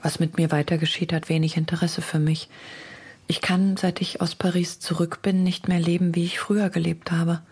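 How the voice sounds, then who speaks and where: Low, breathy, lovesick young poet. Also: female voice, level tone. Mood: resigned. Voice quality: breathy, hushed. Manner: intimate, measured literary reading. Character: young woman poet reading her letters, torn, lovesick. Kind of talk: dramatic letter reading for radio feature.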